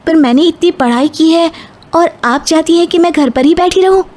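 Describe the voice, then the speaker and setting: A woman's voice: very high-pitched, moderate pace, a little mellow, voice pushed up. A woman voicing a young educated, determined bride who speaks up firmly in a moral story. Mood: protesting. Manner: protesting, firm.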